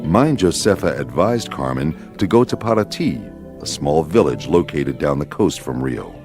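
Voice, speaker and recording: A man's voice: a bass voice, lively intonation, moderate pace. A man narrating a radio adventure serial in a solemn, dramatic scripted style. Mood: measured, dramatic. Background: music.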